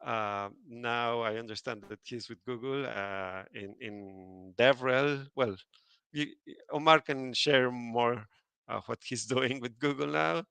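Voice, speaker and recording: Man, event host, announcements, hesitant, bright clear voice, dry sound. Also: mid-range voice, slow pace, no room sound. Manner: hesitant, many fillers and restarts. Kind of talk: conference announcements and speaker introduction.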